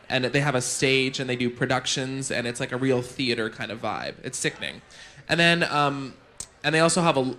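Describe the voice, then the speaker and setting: A man's voice: mid-range voice, fairly bright tone. A man from Canada, a guest drag performer answering audience questions in a chatty way with many fillers and restarts. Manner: chatty, fillers and self-corrections. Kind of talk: casual Q&A chat. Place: bar venue with live audience.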